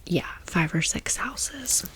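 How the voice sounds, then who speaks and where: A woman's voice: lowish voice, lively intonation, slow pace. A woman in her thirties whispering a chatty, informal ASMR storytime as the host of her channel. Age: 30s. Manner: chatty, informal, gushing asides.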